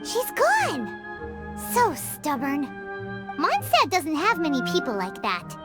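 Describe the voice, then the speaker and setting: A tiny floating fairy companion in a game, high and squeaky to the extreme. Her voice leaps wildly up and down as she chatters away, and bright music plays behind her. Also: takes it slowly, heavily acted. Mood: huffy. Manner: chatty and excitable. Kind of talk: fan dub of a game cutscene.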